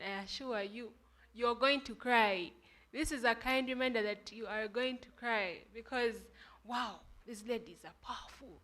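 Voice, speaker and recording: A woman emcee with a Ugandan accent hosts a live poetry event. She has a bright, forceful voice and pitches slightly high. She is enthusiastic and speaks directly to the crowd. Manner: enthusiastic, addresses the audience directly.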